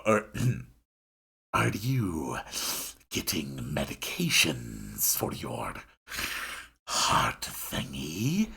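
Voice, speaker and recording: A man voicing a spacey, blunt teenage girl in a visual novel, soft and breathy, halting and deadpan. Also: extremely low pitch, voice pushed down, full character voice. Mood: detached curiosity.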